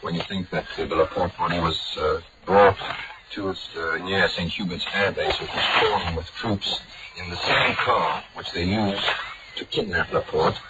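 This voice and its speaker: A man reporting for broadcast, talking in a hesitant way with many pauses and fillers. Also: sits low. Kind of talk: live field report and interview. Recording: muffled hissy old shortwave broadcast.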